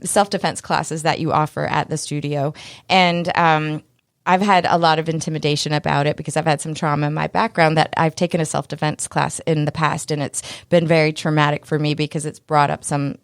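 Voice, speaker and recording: A woman with a low voice hosts a radio show from a dry studio. She asks long questions that wander a little and stops for filler words. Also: brisk, no room sound. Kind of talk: interview questions.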